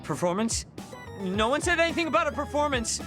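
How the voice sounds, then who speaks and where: A woman's voice: high voice, big pitch swings, brisk. A woman voicing a grumpy, proud teenage boy in an anime-style game, blunt and easily flustered. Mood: defensive. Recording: loud music behind the voice.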